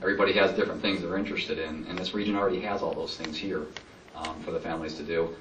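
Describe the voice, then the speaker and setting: A man's voice: deep voice, steady tone, very fast, lo-fi sound, a little hiss or hum. A man answering at a public meeting, rambling and enthusiastic with many fillers. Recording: roomy hall, distant mic.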